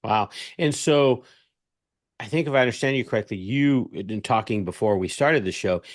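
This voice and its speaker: A man's voice: medium pitch, normal speed, no room sound, clean studio sound. A man hosting a podcast, asking long, upbeat questions. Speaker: podcast host. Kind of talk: podcast interview.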